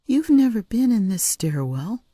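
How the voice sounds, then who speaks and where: A woman's voice: a little high, sing-song, unhurried, mellow, no room sound, clean studio sound, voice pushed up. A woman voicing a tough, protective female cat burglar with a curt, stern manner, in an audiobook story. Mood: persistent questioning.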